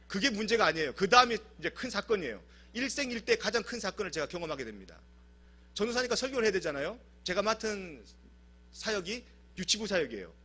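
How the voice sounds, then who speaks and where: A man from South Korea preaching a sermon in church, telling stories in an animated, humorous way. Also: sits high, husky. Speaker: pastor. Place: church service.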